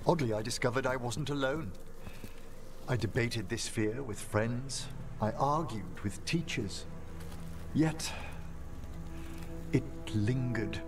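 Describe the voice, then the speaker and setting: Game casting: a refined older male necromancer, formal and measured, slow delivery, music behind. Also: medium pitch. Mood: haunted, solemn. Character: refined older gentleman necromancer, courteous and wistful. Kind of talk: video game dialogue acting.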